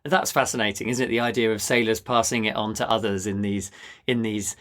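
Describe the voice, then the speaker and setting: A man's voice: lowish voice, level tone, a little fast, a bit bright. A man hosting a casual interview, engaged and reflective as he picks up on his guest's ideas. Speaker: podcast host, fiction writer.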